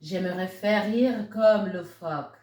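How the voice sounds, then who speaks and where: A woman voicing a small, wistful picture-book chameleon in a wishful, drawn-out way. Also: medium pitch, darkish tone, natural voice. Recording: roomy, echoey sound.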